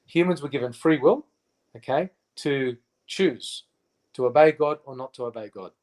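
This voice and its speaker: A man's voice: mid-range voice, normal speed, a little mellow. A man in his fifties, a guest in a casual interview, who speaks emphatically with many fillers and restarts. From Australia. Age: 50s.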